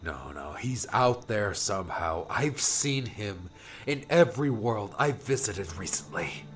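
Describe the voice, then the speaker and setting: A man voicing a troubled, hurried young male adventurer in an audiobook, speaking earnestly and urgently, often hushed. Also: on the low side, expressive. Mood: urgent, determined. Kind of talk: audiobook character acting.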